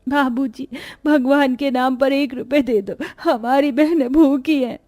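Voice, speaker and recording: A woman's voice: sits high, steady tone, measured pace, darkish tone, voice pushed up. A woman voicing a sad young orphan girl who pleads earnestly in a cartoon story. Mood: pleading.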